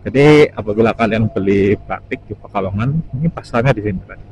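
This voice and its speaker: A man's voice: fairly low pitch, fairly quick, a little mellow, rough recording. A young man talks casually to his viewers. He is recorded on a helmet mic while riding outdoors, with noticeable vehicle noise around his voice. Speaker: motovlogger. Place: outdoors, riding a motorcycle on a highway. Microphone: helmet-mounted mic. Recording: clear engine and traffic noise.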